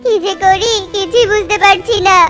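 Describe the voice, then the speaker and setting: An actor as a high-voiced, uneasy cartoon bird. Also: steady tone, moderate pace, fairly bright tone. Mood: worried. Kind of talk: children's cartoon dubbing. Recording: children's music playing behind voice.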